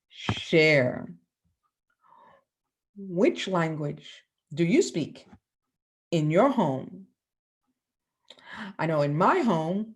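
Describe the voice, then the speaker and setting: A woman teacher from the Bahamas giving a lesson to children, asking the class questions and pausing for answers. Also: medium pitch, a little mellow.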